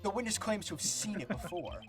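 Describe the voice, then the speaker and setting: A man's voice: high-pitched, animated, brisk, fairly bright tone. A man playing a young, combative defence lawyer in a live video game fandub, with dramatic courtroom declarations. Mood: confident, pointed. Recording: faint music behind the voice.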